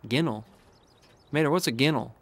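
An actor voicing a cocky, easygoing young male race car in an animated game, with a breezy, wisecracking manner. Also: medium pitch, moderate pace, dry recording, natural voice. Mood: amused. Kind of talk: animated game cutscene acting.

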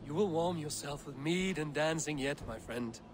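An actor playing a grim, resolute Viking warrior leader in a dramatic video game, speaking solemnly and deliberately. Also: male voice, fairly high pitch, background noise, natural voice. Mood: tender, grave.

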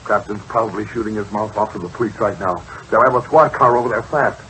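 An actor playing a crooked, desperate racket boss in a 1940s radio crime drama. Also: male voice, on the low side, fast talker, sharp tone. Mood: tense, scheming. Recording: noisy vintage radio recording.

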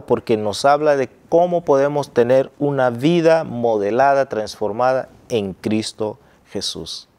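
A middle-aged man from Angola, a pastor hosting a Bible study review in a TV studio, teaching in a measured way on a lapel mic. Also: mid-range voice, a little mellow. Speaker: pastor and host.

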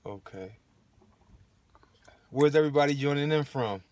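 A man in his thirties hosting a casual phone livestream from inside a parked car, relaxed and chatty, laughing often and using lots of fillers. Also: a little high, measured pace, no echo. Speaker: livestream host. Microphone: phone held at arm's length.

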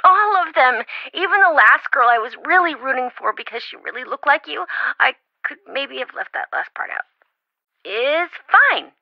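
A woman's voice: high voice, expressive, moderate pace, no echo, lo-fi sound. A woman playing a chatty, flighty, well-meaning young best friend in a video game, rambling and blurting things out over-eagerly.

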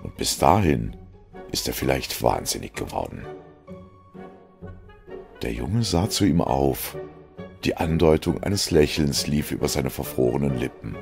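A man with an exceptionally deep, creaky voice reads dark scripted fiction as narrator. His delivery is grave and deliberate. Faint music sits behind him. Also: lively intonation.

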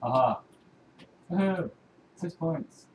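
A man chats through a video game, jokey and easily rattled, his pitch jumping around a lot. His voice sounds roomy and distant, as if it's coming over voice chat from across a room. A faint noise runs under it. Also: expressive, slow, a little mellow. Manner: jokey, panicky, blurts out item names. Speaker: co-player, brother-in-law. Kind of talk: casual gameplay chat.

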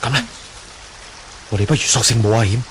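A man's voice: on the low side, a little fast, fairly bright tone, natural voice. An actor playing a brave, protective young swordsman in a Hong Kong Cantonese radio drama, earnest and reassuring. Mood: urgent, steady. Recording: faintly noisy 1980 radio drama.

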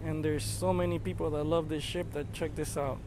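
A man chatting excitedly as he narrates his drone flight outdoors, marveling at what he sees. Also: a little high, a little fast, noisy background. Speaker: drone videographer. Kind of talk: casual live narration while flying a drone.

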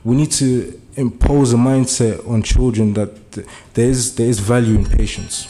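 A man's voice: mid-range voice, fairly even intonation, moderate pace, mellow. A young man from Germany giving an earnest public speech at a meeting, hesitant and full of fillers at first, then building to urgent appeals. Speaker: community speaker. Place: meeting room.

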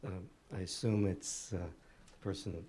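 A man in the audience asking a halting, technical question at a conference, pausing often. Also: sits low, steady tone, mellow, slight background noise. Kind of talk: question from the floor. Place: conference room.

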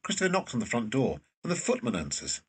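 A man with a husky voice reading scripted stage directions as narrator of a drama. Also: medium pitch, huge pitch swings, fast, distant mic.

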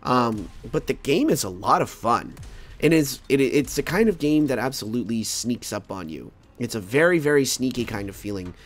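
A man's voice: medium pitch, expressive, normal speed, soft background music. A man with a cold casually reviewing a video game, rambling and stammering, with easy laughter. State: fighting a cold.

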